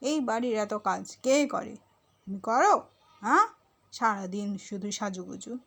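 A woman voicing a bossy, ageing mother-in-law in a cartoon, nagging with sharp questions. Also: medium pitch, lively intonation, unhurried. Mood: scolding.

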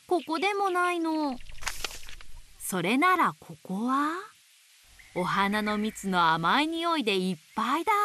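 A woman reading picture books aloud to babies in a gentle, sing-song way, dwelling on playful sound words. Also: sits high, slight background noise. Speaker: storybook narrator voicing an owl. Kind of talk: children's picture book read-aloud.

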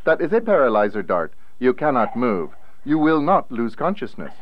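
A man's voice: medium pitch, big pitch swings, moderate pace, highs cut off, close to an everyday voice. A man acting in a radio play as a formal, earnest salesman-technician who speaks precisely. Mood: clinical. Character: formal, earnest male salesman-technician, secretly a time investigator.

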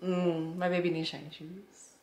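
A woman around her thirties chatting casually to camera in a playful, expressive way, stretching words for emphasis. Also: fairly low pitch, moderate pace. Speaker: vlogger. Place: apartment living room. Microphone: camera at arm's length.

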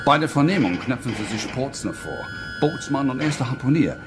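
An actor playing a brisk, businesslike policeman in a radio play, speaking in clipped phrases. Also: medium pitch, lively intonation, music in the background, lightly acted. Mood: urgent. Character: brisk male police colleague reporting findings.

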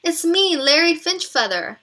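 Woman doing young animal schoolboy, picture book, teasing, matter-of-fact, bright voice. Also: very high voice, sing-song, unhurried, voice pushed up, full character voice. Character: teasing but caring young schoolboy animal, best friend.

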